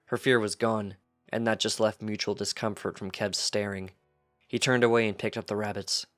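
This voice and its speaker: A man's voice: on the low side, level tone, moderate pace, fairly bright tone, soft background music. A man reading fan fiction aloud as scripted story narration. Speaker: fanfiction reader.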